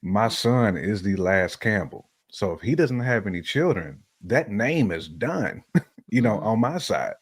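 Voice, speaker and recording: A man's voice: lowish voice, very animated, unhurried, husky, no echo. A middle-aged man in casual, colloquial conversation, giving his views as a panelist on a talk show. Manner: informal, colloquial, laughs while talking. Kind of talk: casual opinionated chat.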